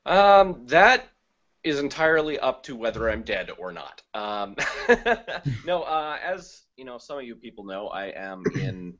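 A man's voice: mid-range voice, measured pace. Joking man on a muffled call. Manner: joking, self-deprecating, lively. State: groggy, just woke up. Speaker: event speaker. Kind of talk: casual video-call chat. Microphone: video call.

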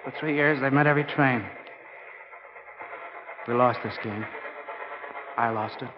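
An actor playing a driven, earnest American college football coach in a brisk, folksy 1940s radio-drama style. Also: male voice, medium pitch, lightly acted. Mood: dejected, ashamed. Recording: muffled 1940 radio broadcast.

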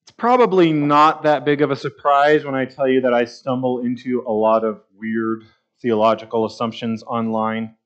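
A man's voice: medium pitch, steady tone, normal speed. A man in his thirties preaching a sermon at a church pulpit, conversational and wry with sarcastic asides. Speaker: pastor. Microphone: pulpit mic, far camera. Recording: slightly dull church livestream audio.

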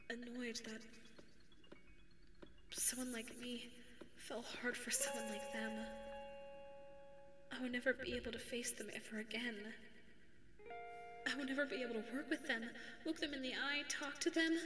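A woman's voice: on the high side, close to an everyday voice. A woman voicing a sweet, anxious female dog secretary with a crush, speaking hesitantly in an ASMR roleplay. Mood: anxious dread. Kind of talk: ASMR audio roleplay monologue. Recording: echoey reverberant sound with background music. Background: music.

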